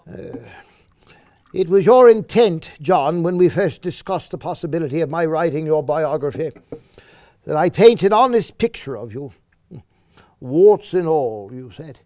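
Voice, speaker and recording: An actor playing a wry, exasperated biographer, speaking in a deliberate, pompous, drily ironic theatrical monologue with hesitations. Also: male voice, fairly high pitch, animated, warm tone, no room sound, studio quality. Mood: wry, earnest. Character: wry, exasperated male biographer addressing his old friend. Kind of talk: theatrical monologue reading a letter aloud.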